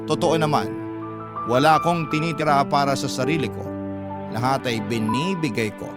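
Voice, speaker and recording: A man's voice: medium pitch, normal speed. A man narrating a scripted first-person dramatic story in the style of a radio storyteller. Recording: loud music under the voice. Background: loud music.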